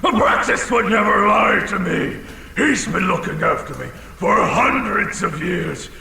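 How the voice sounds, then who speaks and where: Audio drama dragon: ancient, boastful, touchy, heavy gravel, slow theatrical delivery, deep reverb. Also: male voice, animated, sharp tone, full character voice. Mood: confused, defensive. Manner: theatrical, rambling, swings into bellowing. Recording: cavernous echo with long reverb, faint noise.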